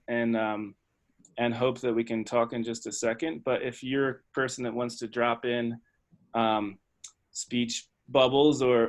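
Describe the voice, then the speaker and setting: A man hosting an online webinar discussion, speaking in a thoughtful, tentative and halting academic manner. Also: medium pitch. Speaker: webinar host and facilitator. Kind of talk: hesitant, thoughtful facilitated discussion. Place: online video call.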